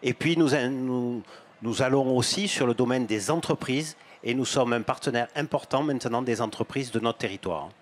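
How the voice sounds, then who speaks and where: Man, fifties, measured, hesitant fillers, handheld mic, faint ambience. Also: medium pitch, slight background noise. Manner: measured, with frequent "euh" and repeated words; gestures broadly while talking. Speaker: bank executive guest. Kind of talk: explanatory interview answers. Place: outdoor stand at an agricultural fair, on a sofa. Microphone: handheld microphone.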